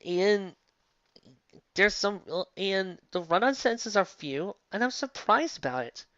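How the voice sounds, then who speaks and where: A woman's voice: medium pitch, sing-song, unhurried, fairly bright tone, no echo. Woman ranting in a dull, dry recording. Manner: incredulous, exasperated ranting with rhetorical questions. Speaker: fan fiction reviewer and commentator. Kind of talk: casual, unscripted opinion commentary.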